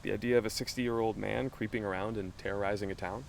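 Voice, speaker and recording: An actor playing a curious, determined American investigator in an audio drama, questioning things in a thoughtful, earnest way. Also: male voice, lowish voice, fairly even intonation, quick pace, vocal fry, slight background noise. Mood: inquisitive. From Los Angeles, United States. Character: curious, determined young male amateur investigator. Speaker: investigative podcast host. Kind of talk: naturalistic audio drama dialogue.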